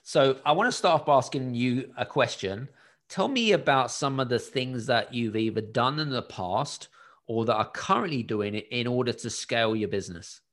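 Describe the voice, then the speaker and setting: A man's voice: mid-range voice, moderate pace, a bit bright. A man hosting a coaching session, upbeat, asking direct questions. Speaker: business coach and podcast host. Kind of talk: coaching conversation.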